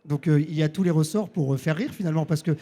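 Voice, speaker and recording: A man from the interviewer's chair speaks French with an accent from France. His voice is high for a man and soft-edged, and he talks quickly, rephrasing as he goes in a casual style.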